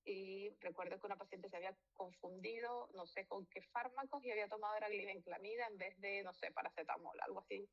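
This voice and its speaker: A woman physician from Venezuela walks students through a medical lecture, talking loosely and correcting herself along the way. Her voice has a gravelly, fried edge at a middling pitch. The recording sounds dull and lo-fi. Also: brisk, hardly any pauses. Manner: informal, with fillers and self-corrections.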